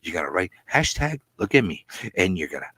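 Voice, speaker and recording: Male vlogger, low husky voice, comedic rambling rant, theatrical and repetitive. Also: very animated, fairly quick, bright, forceful tone, no echo. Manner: theatrical, repetitive, stammering over phrases. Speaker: host of a comic book video channel.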